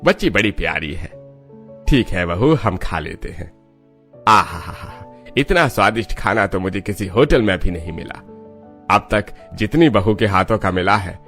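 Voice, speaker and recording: Man acting a middle-aged uncle, hearty, admiring, very lively intonation, cartoon story, music underneath. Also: deep voice, measured pace, full character voice. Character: warm appreciative visiting uncle, middle-aged family man. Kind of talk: Hindi moral cartoon story dialogue.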